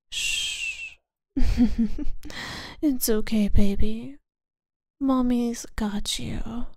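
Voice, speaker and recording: Gentle woman for a comfort roleplay: high, mellow voice, close and dry, soothing and sometimes whispered. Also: dry recording. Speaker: audio roleplay performer.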